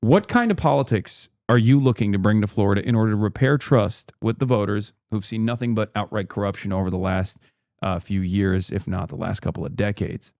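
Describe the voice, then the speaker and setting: A man hosting a political interview, reading out long questions and dropping sardonic, deadpan asides. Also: lowish voice, a little fast, creaky, no room sound, clean studio sound. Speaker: interviewer and host.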